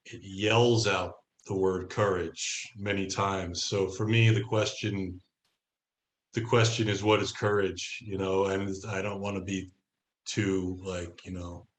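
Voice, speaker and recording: A man as a podcast guest, talking casually and reflectively, halting as he searches for the right words. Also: low-pitched, level tone, a little hiss or hum. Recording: echoey room.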